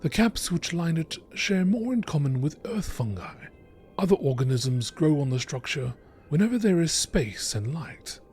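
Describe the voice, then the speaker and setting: A man reading scripted nature documentary narration in a measured, informative manner. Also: fairly high pitch, sing-song, a little mellow, soft background music. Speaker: narrator reading game lore entries.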